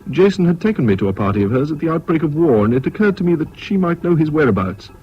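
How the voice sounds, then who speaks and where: An actor playing an English gentleman recalling his past, in measured first-person radio drama narration. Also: a little high, very animated, faint music behind. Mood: reflective. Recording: dull old radio recording, light noise.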